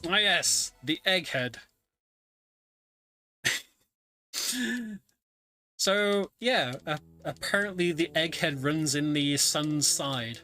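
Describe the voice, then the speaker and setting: A man's voice: on the high side, animated, very slow, long pauses, pushed and bright. A man giving chatty, wry live commentary on a speedrun, telling the story of the game as he plays. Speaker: speedrunner commentating own run. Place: home setup, streamed online event. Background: game music.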